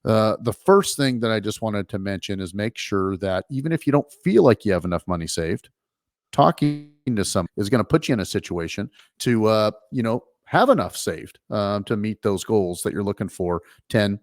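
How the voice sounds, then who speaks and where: A man's voice: lowish voice, lively intonation, moderate pace, dry recording, studio quality. A middle-aged man hosting a finance talk show, explaining things casually with many fillers and restarts. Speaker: financial advisor and show host.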